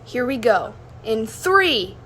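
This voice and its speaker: A woman acts out a daring, eager storybook girl. The voice is fairly high, with emphatic, excited delivery and some noise behind it. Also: takes it slowly, full character voice. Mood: excited, determined. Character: bold, eager girl adventurer and daring flyer.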